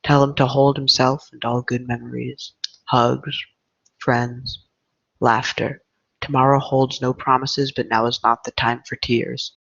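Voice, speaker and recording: A man's voice: mid-range voice, flat delivery, measured pace, vocal fry, fairly bright tone, dry recording. A man playing a tender, earnest boy reciting his poem in a story reading. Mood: tender, solemn. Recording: dull, dry lo-fi recording.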